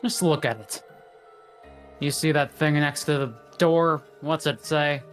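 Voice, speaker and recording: A podcaster voicing a teasing old grandpa in a put-on deep voice, as a game character during a play-through. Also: measured pace, faint music behind, lightly acted. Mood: gruff. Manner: false deep voice.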